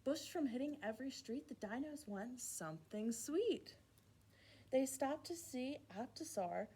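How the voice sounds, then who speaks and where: A woman hosting a children's storytime, reading a rhyming picture book aloud in an expressive, playful way with asides to young listeners. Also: high-pitched, unhurried, slightly rough. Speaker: children's library storytime host.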